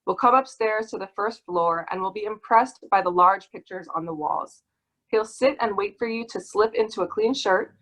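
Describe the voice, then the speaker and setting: A woman guest reader presenting literary work on an online call in a measured storytelling way. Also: mid-range voice, fairly bright tone, low-quality recording. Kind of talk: literary reading aloud.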